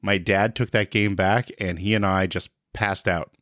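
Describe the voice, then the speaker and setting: A man's voice: fairly low pitch, fairly even intonation, moderate pace, a bit bright, dry recording, clean studio sound. A man in his forties reminiscing casually as a podcast guest, in a laid-back and hesitant way.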